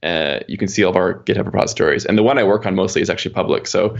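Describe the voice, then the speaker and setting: A man's voice: deep voice, racing, creaky, muffled recording. A man chatting casually as an interview guest, explaining his work as an engineer in a rambling, informal way. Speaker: podcast guest, software engineer.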